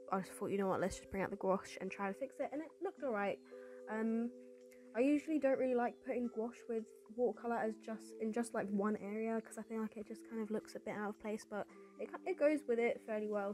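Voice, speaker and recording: Chatty young woman, a little congested. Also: medium pitch. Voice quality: slightly raspy. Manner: chatty, rambling with fillers and restarts. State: congested from a cold. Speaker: artist vlogger. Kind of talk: casual voice-over chat. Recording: voice-over with music behind.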